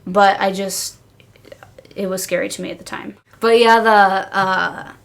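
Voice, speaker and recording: A woman's voice: mid-range voice, fairly even intonation, measured pace, creaky, a bit bright. A woman co-hosting a casual podcast, telling stories in informal, slangy language. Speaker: podcast co-host.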